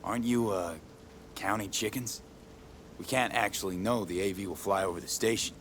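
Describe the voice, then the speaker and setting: A wary, practical male mercenary, played by an actor, asking pointed questions in plain words. His voice sits fairly low, with faint background noise under the game dialogue. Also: steady tone, moderate pace, fairly bright tone, natural voice. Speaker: game protagonist.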